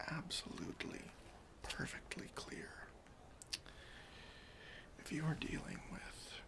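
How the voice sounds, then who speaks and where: A man whispering an ASMR roleplay as a deadpan airport security officer, breathy and deliberate, with pauses. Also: on the high side. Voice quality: breathy whisper with some fry. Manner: slow, hushed, deliberate, with pauses.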